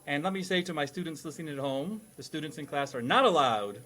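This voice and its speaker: A man's voice: on the high side, animated, fast, a bit bright. A man hosting a podcast quiz, mixing scripted introductions with playful questioning in a mock-schoolmasterly manner. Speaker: quiz show host.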